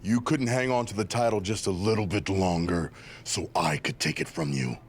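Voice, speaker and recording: An actor playing a bitter, threatening male wrestling villain in a video game, with a gravelly voice. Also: low voice. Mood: menacing, bitter. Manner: slow, threatening. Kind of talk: video game cutscene acting.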